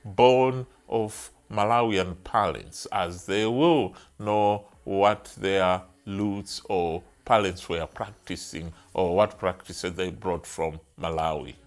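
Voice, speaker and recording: A man's voice: low voice, steady tone, slow, few pauses. A man reading scripted documentary narration. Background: music.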